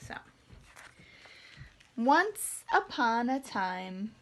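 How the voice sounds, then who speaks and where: A woman's voice: a little high, big pitch swings, drawn-out words, a bit bright. A woman reading a fairy tale aloud to young children, expressively and with simple explanations. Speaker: children's storytime reader.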